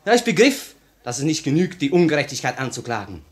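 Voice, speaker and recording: An actor playing a candid, idealistic young revolutionary in a 1950 radio play, earnest and impassioned in delivery. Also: male voice, on the high side, very animated, quick pace. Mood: fervent, idealistic.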